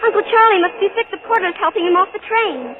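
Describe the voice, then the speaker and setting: A woman's voice: very high voice, animated, fast talker, phone-quality sound, over loud music. An actress playing an earnest, dreamy teenage girl in a 1940s radio play, eager and breathless. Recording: scratchy 1940s radio broadcast.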